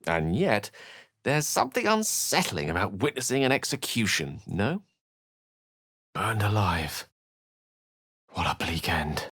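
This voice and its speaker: A man's voice: on the low side, big pitch swings, unhurried, pauses often, fairly bright tone, breathy edge, dry recording, clean studio sound, full character voice. An actor voicing an eloquent, wry, bookish male wizard companion in a video game, with a theatrical, erudite delivery. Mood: reflective, eloquent. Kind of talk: video game character voice acting.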